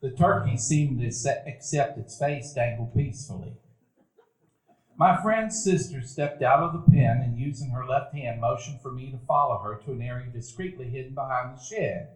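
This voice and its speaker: A man narrating a stage radio play as a grown man recalling his boyhood, in a measured, reflective telling. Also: medium pitch, lightly acted. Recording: roomy event hall.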